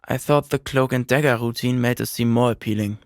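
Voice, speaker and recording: Guy doing a young commie bookworm for a video game, super serious n pedantic, creaky voice, dry close recording. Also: mid-range voice, fairly even intonation, fairly quick, no room sound, natural voice. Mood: thoughtful. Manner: bookish, precise, self-serious. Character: earnest, pedantic young male communist intellectual. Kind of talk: video game character voice lines.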